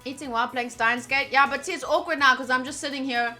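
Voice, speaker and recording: A woman's voice: high-pitched, steady tone, fast, bright, forceful tone, soft background music. A woman game streamer reading game text aloud and chatting informally with casual asides.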